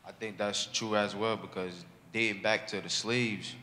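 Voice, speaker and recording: Young guy, real low voice, calm and thoughtful, big hall echo. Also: moderate pace. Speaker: discussion participant. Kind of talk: casual group discussion. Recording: large hall reverb, faint noise.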